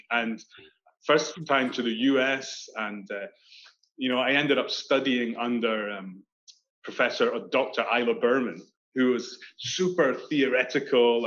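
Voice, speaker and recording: A Scottish man in his thirties, an architect talking casually in an interview over a video call, animated and full of restarts. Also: mid-range voice. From Scotland. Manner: animated, gestures with hands, many restarts. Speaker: architect guest. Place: indoor room on video call. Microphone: video call webcam. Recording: dull lo-fi call audio.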